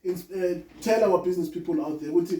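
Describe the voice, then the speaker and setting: High-voiced male host, echoey. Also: fast, warm tone. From South Africa. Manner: encouraging, with frequent back-channel "mm". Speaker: podcast host. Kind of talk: casual interview conversation. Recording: echoey room, from a distance.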